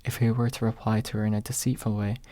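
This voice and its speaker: A man narrating an ASMR audiobook in a hushed, husky voice, close to the microphone. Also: fairly low pitch, flat delivery, quick pace, no echo. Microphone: close microphone, dry.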